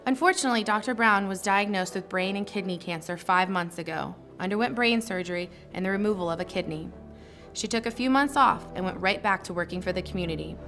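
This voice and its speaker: A woman's voice: medium pitch, fast talker, fairly bright tone. A woman reading a written nomination aloud in scripted narration. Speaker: reader of nomination stories. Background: background music.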